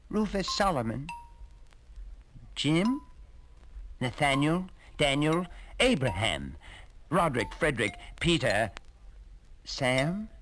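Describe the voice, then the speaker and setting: A frightened young maiden from a storybook, pleading in a high, tearful voice that rises and falls widely, as a man reads her part. She speaks slowly and haltingly, with a faint hiss of noise behind her. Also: a bit bright, heavily acted. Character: frightened poor young woman, miller's daughter turned queen. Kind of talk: storybook reading.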